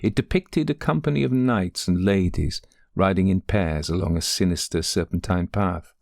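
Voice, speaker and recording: Deep, gravelly male ghost-story narrator. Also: a little mellow, dry recording, studio quality. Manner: deliberate, literary storytelling.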